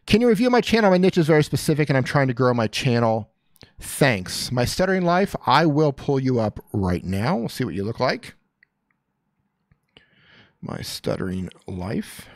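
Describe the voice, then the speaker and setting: A man's voice: mid-range voice, sing-song, moderate pace, dry recording, studio quality. A man hosting a live stream, giving casual, upbeat coaching advice on channels and reading viewer comments aloud. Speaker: YouTube coach reviewing channels.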